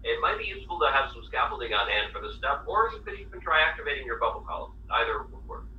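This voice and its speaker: A man giving a scripted, step-by-step game tutorial in a measured, instructive way. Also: medium pitch, sing-song, a little hiss or hum. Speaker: tutorial narrator. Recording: tinny, echoey audio played from far off.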